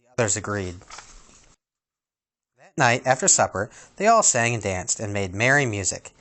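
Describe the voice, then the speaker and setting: Guy reading a kids storybook out loud, expressive, voice swings up and down a lot. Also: lowish voice, moderate pace. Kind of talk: reading a children's storybook aloud.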